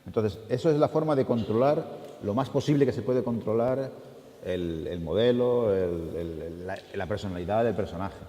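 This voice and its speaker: A man's voice: on the low side, warm tone. A man on an animation panel answering audience questions with loose, restarting explanations. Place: art school event hall. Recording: echoey hall.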